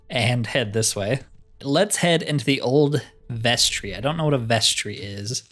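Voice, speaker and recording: A man's voice: mid-range voice, measured pace, a bit bright, soft background music. A man giving casual let's play commentary, thinking aloud as he plays and grumbling wryly. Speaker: gaming video host.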